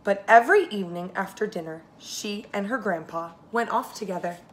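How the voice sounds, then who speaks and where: A woman's voice: mid-range voice, fairly quick. Woman reading a storybook, noisy recording. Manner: expressive, talks directly to the listening children. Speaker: preschool teacher reading stories to children. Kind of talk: storybook read-aloud addressed to young children.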